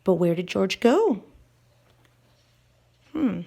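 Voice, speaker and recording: A woman reads a children's story aloud with a swooping, animated melody. Her voice has a creaky edge at a medium pitch. She stretches key words for emphasis and speaks as a teacher does to young listeners. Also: drawn-out words. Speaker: teacher reading a picture book aloud.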